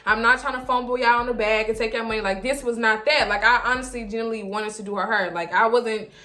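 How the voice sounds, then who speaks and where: A woman vlogger telling a casual story in an animated, emphatic, slangy way, often repeating herself. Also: fairly high pitch, quick pace. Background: background music.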